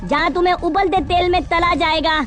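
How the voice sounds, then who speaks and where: Bossy cartoon underworld official, very high-pitched, officious delivery over music female actor. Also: fairly even intonation, moderate pace. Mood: stern. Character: bossy cartoon afterlife clerk handing out rebirths and punishments. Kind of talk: Hindi comedy cartoon dialogue. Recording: music behind the voice.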